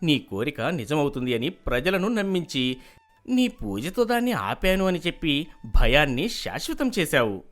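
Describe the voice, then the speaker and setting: A stern, upright village headman in a cartoon moral tale, played by a voice actor. He speaks firmly and scolds and lectures, with lively rises and falls in his pitch. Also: normal speed, soft background music, close to an everyday voice. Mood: scolding. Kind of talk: animated cartoon voice acting.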